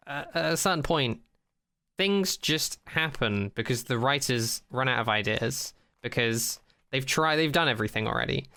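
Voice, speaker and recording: Bright, clear-voiced skeptical male podcaster. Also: medium pitch. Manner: skeptical, opinionated. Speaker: podcast co-host. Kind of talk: casual unscripted podcast chat.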